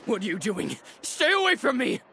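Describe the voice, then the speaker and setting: A man's voice: sits high, wildly swooping pitch, normal speed, creaky, noisy background, exaggerated voice. An actor playing a sleazy, cowardly male thug who whines and blusters. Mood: whiny. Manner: whiny and bluster-filled.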